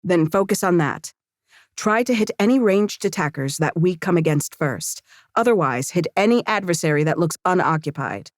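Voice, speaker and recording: A woman's voice: lowish voice, lively intonation, normal speed, no room sound, studio quality, close to an everyday voice. An actress playing a seasoned female leader of fantasy fighters in an audiobook, curt, commanding and matter-of-fact. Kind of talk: audiobook character acting.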